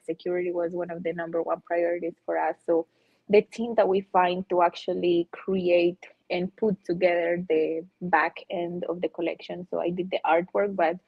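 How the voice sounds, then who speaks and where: A woman from Russia who has also lived in Colombia, chatting loosely and enthusiastically as an interview guest on dull, lo-fi audio. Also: lowish voice. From born in Russia, lived in Colombia. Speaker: NFT artist, interview guest. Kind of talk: casual chat.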